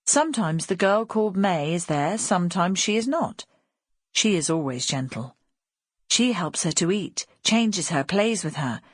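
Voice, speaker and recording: Female audiobook narrator, lowish voice with some huskiness, literary storytelling, very dry studio sound. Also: animated, a little slow, breathy edge, no echo.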